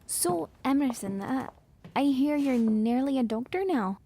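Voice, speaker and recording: A woman's voice: high-pitched, animated, a little slow, slight background noise. An actress playing a demure, agreeable nineteen-year-old society lady in a period audio drama, with a proper, polite way of speaking. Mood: polite, eager. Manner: proper, polite, soft-mannered.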